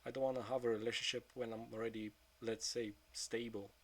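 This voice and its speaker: A husky-voiced man of twenty-two talks to camera in a flat, nearly monotone way. He is blunt and swears often, and his sentences keep sinking into quiet mutters. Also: medium pitch, a little fast. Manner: subdued, trailing off into quieter mutters; frank, with frequent swearing. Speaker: vlogger. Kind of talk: confessional monologue.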